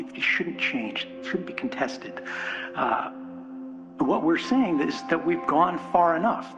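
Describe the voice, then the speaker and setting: A man giving an earnest, reflective political keynote, building points with repetition and rhetorical questions. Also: fairly high pitch. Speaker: conference speaker. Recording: music bed behind speech. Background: music.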